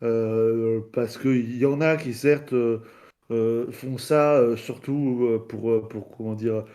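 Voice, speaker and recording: A man in a casual debate, speaking haltingly and searching for his words. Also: medium pitch, fairly even intonation, mellow. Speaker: debate participant.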